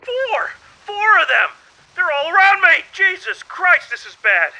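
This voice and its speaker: A panicky mech wingman in a military audio drama, calling urgently over the radio. His voice is high and strained, with a very sharp, tense edge and wide swings in pitch. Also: big pitch swings, a little slow, gravelly, heavily acted, low-quality recording. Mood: screaming panic.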